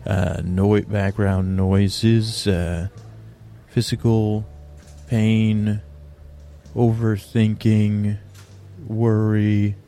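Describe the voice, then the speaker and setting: Gravelly, dark-toned man rambling slowly. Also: lowish voice, flat delivery, faint music behind. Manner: meandering, halting, with restarts and filler words; slow drowsy lulling delivery. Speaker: podcast host. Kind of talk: rambling bedtime monologue.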